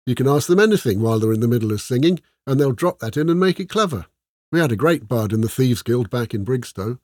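A man voicing a chatty, excitable male thief who rambles eagerly in colloquial speech. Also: mid-range voice, no room sound, studio quality, pitched above the performer's own voice, lightly acted.

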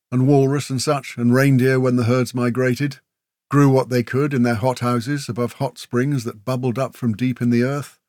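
A man's voice: mid-range voice, a little mellow, no room sound, studio quality, voice pushed up, natural voice. A man voicing a chatty, folksy warrior with a breezy, teasing humour in a fantasy audiobook. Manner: folksy, matey, talkative, with tag questions. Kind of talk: fantasy audiobook character dialogue.

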